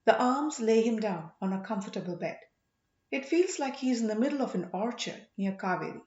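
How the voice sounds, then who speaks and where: A woman narrating an audiobook story dramatically, in measured short phrases with emphasis. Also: a little high, animated, darkish tone. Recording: echoey room, slightly muffled sound.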